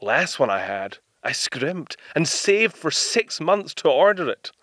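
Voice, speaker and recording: An actor with a British accent playing a proud, genteel 1930s inventor in a period audio drama, speaking with clipped, old-fashioned courtesy. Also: male voice, on the high side, big pitch swings, unhurried, fairly bright tone, no room sound, studio quality, full character voice. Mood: wry, resigned.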